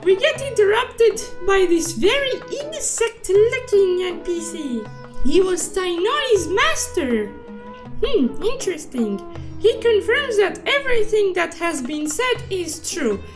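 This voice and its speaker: A woman voicing a silly, excitable cute cartoon unicorn who narrates a comic story recap in a playful, exclaiming, mock-dramatic manner. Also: very high-pitched, unhurried, soft background music, full character voice. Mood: playful storytelling.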